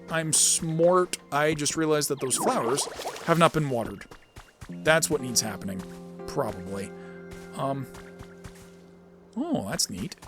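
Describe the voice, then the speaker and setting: Animated, higher-voiced man playing a game. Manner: rambling, thinking aloud. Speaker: gaming video host. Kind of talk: casual let's-play commentary.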